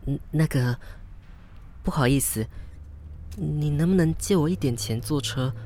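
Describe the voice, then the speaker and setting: A woman's voice: low-pitched, a little slow, warm tone. A woman with a Taiwanese Mandarin accent playing a flustered, self-mocking young woman in an audio drama, hesitant and polite aloud, blunt when muttering to herself. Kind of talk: audio drama acting with spoken inner monologue.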